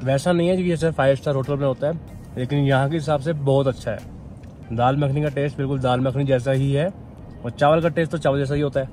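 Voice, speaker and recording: A man's voice: mid-range voice, steady tone, fast, darkish tone, soft background music. A young man chatting casually and informally to camera as a solo travel vlogger, outdoors. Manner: informal, bro-peppered talk. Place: outdoors at a mountain campsite.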